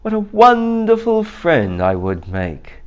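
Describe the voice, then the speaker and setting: A boastful, lonely storybook goat played by a man shows off in swooping, animated tones. The delivery is showy and unhurried, on a slightly dull recording. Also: sits high, clear, resonant tone, pitched above the performer's own voice, heavily acted. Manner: bragging, showy. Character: boastful lonely male goat on a tower throne.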